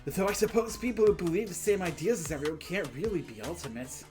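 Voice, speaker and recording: Woman acting student lead, lower female register, conversational, wry, hesitant politeness, loud game music behind. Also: lively intonation, fast, natural voice. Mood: puzzled, polite.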